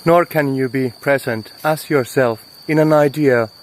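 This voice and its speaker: A man delivering a philosophical spoken monologue as host, in a deliberate, emphatic, instructive manner with short phrases and repetition. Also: mid-range voice. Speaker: host of a spiritual talk series.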